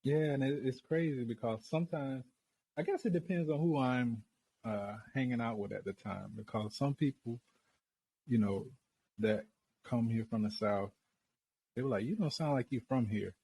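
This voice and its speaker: A man's voice: mid-range voice, moderate pace, dark tone, breathy edge, poor audio. A man co-hosting a podcast in easygoing, slangy chat, a talkative military brat now living in the South. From grew up as a military child, now living in the American South. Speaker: podcast co-host.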